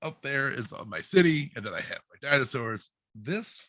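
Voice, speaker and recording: A man's voice: mid-range voice, lively intonation, moderate pace, pushed and bright, no room sound, studio quality. A man in a casual chat, speaking as a guest with a joking, exaggerating manner. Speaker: guest author and hairdresser.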